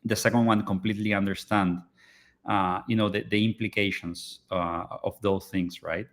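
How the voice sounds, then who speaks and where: A man's voice: fairly low pitch, fairly even intonation, normal speed. A man originally from Argentina, speaking English as a startup founder in a live online interview, hesitant and full of fillers and restarts.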